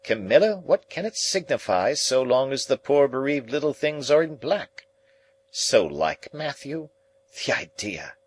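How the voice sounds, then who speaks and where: Man putting on an indignant society lady, swooping high. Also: big pitch swings, measured pace, a little hiss or hum. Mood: theatrical pity. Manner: exclamatory, emphatic, abrupt. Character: indignant, self-pitying, theatrical middle-aged society lady. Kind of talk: dramatised audiobook reading.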